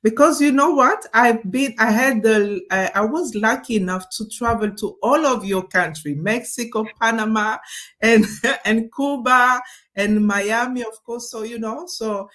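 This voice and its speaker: A woman's voice: a little high, a little slow, a bit bright. Woman, from Congo, interview guest, chatty, fillers, video call. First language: French. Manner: chatty, laughs often, many fillers and restarts. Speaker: guest, online business owner. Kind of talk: casual interview talk.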